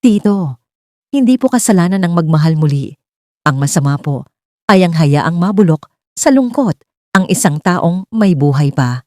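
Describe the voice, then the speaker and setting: A woman's voice: lowish voice, expressive, normal speed, long pauses, a little mellow, no room sound, clean studio sound, close to an everyday voice. A woman voicing a respectful male suitor who defends his love in a serious, polite and direct manner. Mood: earnest, determined.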